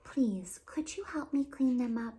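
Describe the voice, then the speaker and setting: A kindly, worried storybook witch, voiced by a woman, pleads politely. The pitch is lifted and the words are slow and drawn out, with a soft tone. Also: lively intonation.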